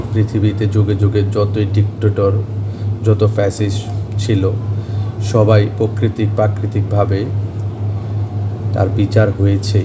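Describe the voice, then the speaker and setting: A man with a Bangladeshi accent giving an earnest political statement in deliberate, halting phrases. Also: on the low side, noisy background.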